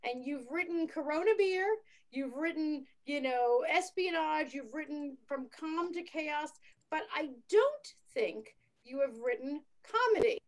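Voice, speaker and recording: High-voiced enthusiastic woman host. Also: animated, unhurried, rough recording. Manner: enthusiastic, many fillers. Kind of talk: casual interview chat.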